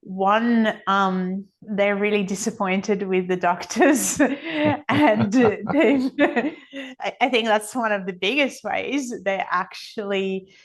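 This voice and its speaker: A woman's voice: medium pitch, takes it slowly. A middle-aged woman giving reflective, conversational interview answers as a podcast guest, often acting out other people's thoughts as quotes. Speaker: podcast guest, energy healing author.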